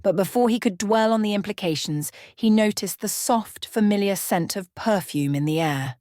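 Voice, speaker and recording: A woman's voice: mid-range voice, normal speed, a little mellow, dry recording, studio quality. A woman reading a scripted bedtime story as a storyteller in an ASMR style.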